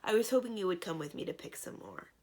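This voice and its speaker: A woman voicing an eager, chatty, easily worried young female friend in a storybook, animated and earnest. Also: lowish voice, fast talker. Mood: uneasy.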